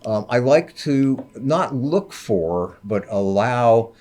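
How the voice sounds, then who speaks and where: An older man with a deep, resonant radio voice, a public radio host chatting casually, unhurried and full of fillers. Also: animated, a little mellow. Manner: unhurried, many uh fillers, jokey. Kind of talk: casual radio studio chat.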